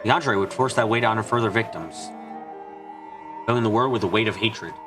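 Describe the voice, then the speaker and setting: A man giving an earnest amateur dramatic reading of a visual novel, halting for dramatic pauses. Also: lowish voice. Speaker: amateur fan dubber. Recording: music behind the voice. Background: background music.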